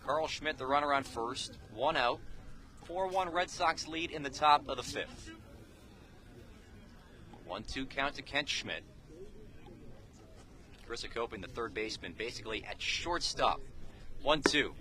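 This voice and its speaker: A man's voice: mid-range voice, animated, measured pace, pauses often, sharp tone. A man calling live baseball play-by-play for radio in clipped, telegraphic phrases. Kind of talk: live sports commentary. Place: outdoors at a baseball game.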